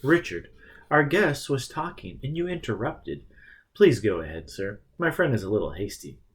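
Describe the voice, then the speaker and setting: A man voicing a calm, patient male teacher in an audiobook, reasoning and explaining with a gentle, teasing touch. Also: mid-range voice, normal speed, slightly rough. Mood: mildly reproving.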